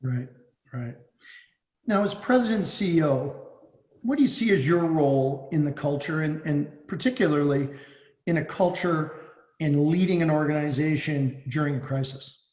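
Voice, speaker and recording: A man's voice: a little high, normal speed, a little mellow. A man hosting an interview podcast, putting prepared questions to a guest, recorded with an echoey, roomy sound. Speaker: podcast host.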